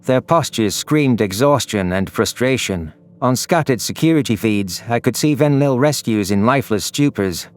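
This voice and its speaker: A man's voice: mid-range voice, expressive, normal speed, hardly any pauses. A man reading scripted, dramatic narration for a science fiction audio story. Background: background music.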